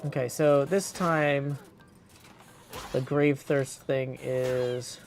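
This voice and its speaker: A man's voice: medium pitch, steady tone, extremely slow, slight background noise. A man casually talking through a game he is playing and reading bits of its text aloud. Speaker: streamer practicing game voice acting.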